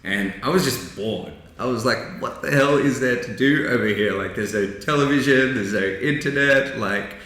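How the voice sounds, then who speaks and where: A man's voice: mid-range voice, moderate pace, hardly any pauses, fairly bright tone. A young man born in Bangladesh and raised in Australia, a startup co-founder chatting easily in an interview, often laughing. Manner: easygoing, laughs often, frequent um and like. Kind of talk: casual interview chat. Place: co-working space. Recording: very echoey room.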